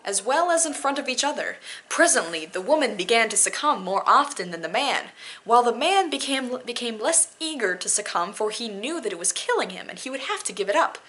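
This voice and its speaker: A woman's voice: a little high, lively intonation, brisk, fairly bright tone. A woman doing an amateur dramatic poetry reading with casual asides, theatrical and self-deprecating in manner.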